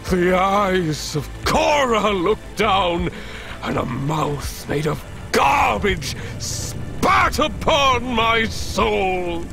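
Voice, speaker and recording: Crazed zealot preacher, theatrical declaiming, drawn-out delivery, dramatically varied pitch, video game. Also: male voice, gravelly, heavily acted. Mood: feverish zeal. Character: crazed male street doomsday preacher, fire-and-brimstone zealot. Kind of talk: ranting sermon, game acting.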